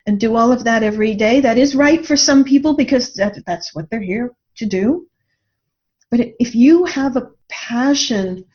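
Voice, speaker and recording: High-voiced woman, earnest guest author. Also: darkish tone. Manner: earnest, reflective. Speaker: guest author promoting a book. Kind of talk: conversational interview talk. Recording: dull, muffled lo-fi audio.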